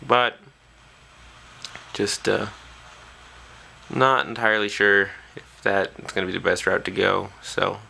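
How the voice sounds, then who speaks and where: A man's voice: on the low side, steady tone, slow, vocal fry, pauses often, sharp tone. A man talking casually and off the cuff as a hobbyist presenter, hesitantly thinking aloud at an indoor workbench on a camera's built-in mic. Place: small indoor workshop at a bench.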